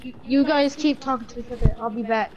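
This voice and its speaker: A woman's voice: on the high side, fast talker, muffled recording, noisy background. A woman improvising role-play in a game voice chat, speaking a little hesitantly. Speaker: player role-playing a secret agent.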